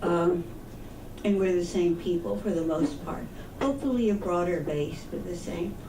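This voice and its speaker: A woman in her seventies giving a talk at a meeting, speaking softly and with hesitant restarts. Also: fairly low pitch, normal speed. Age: 70s. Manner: soft-spoken, hesitant restarts. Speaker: guest speaker. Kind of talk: public talk. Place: meeting room. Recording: echoey room, far from the mic, noisy.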